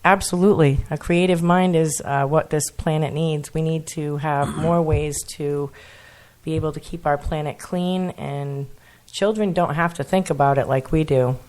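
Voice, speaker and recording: A woman in her forties with a Rhode Island accent talks earnestly as a radio guest. Her voice sits a bit low, and she laughs easily between her thoughts. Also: fairly even intonation, normal speed, a little mellow. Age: 40s. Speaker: guest, children's meditation teacher. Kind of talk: casual radio interview.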